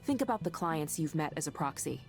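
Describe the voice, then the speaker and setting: An earnest, idealistic woman with a slightly low voice in a visual novel. She speaks conversationally and with conviction, as if defending work she believes in. Faint music plays behind her. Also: expressive, brisk. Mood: impassioned, pleading. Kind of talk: visual novel game voice acting.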